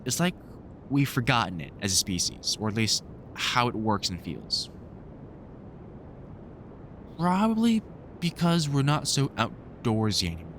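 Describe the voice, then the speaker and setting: A man's voice: mid-range voice, very animated, unhurried, pauses often, fairly bright tone, slight background noise. A man playing a wry, grumpily fond vampire hunter in a conversational audio roleplay, teasing and swearing through rambling explanations. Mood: explaining, wry.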